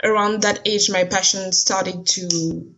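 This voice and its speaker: A woman's voice: medium pitch, steady tone, slow pace, background noise. A woman from Guadeloupe with a French accent, answering interview questions casually and searching for her words. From Guadeloupe, French West Indies. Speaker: interview guest. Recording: dull lo-fi sound.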